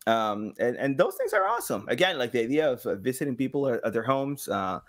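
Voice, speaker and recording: A man in his thirties talks casually as a guest on a podcast. His pitch swings widely as he tells stories, and he slips into acting out short quotes. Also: fast talker, creaky. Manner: animated, acting out little quotes. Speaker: podcast guest, game studio engagement director and sound designer. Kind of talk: casual conversational storytelling. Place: small home room with guitars.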